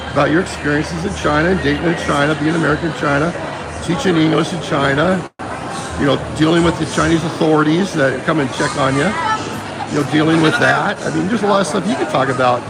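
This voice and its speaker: A man's voice: a little high, a little fast, creaky, over a noisy crowd, rough recording. A man live-streaming from a phone while walking a busy mall, talking rambling and loose, repeating himself and saying "bro" constantly, sick and losing his voice. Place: outdoors and in a busy shopping mall. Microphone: phone held while walking.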